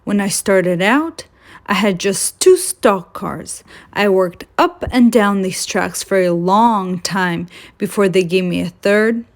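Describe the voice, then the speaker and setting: A woman's voice: medium pitch, slow pace. A woman voicing a big, friendly male train in a children's storybook, hearty and patient. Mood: patient, encouraging.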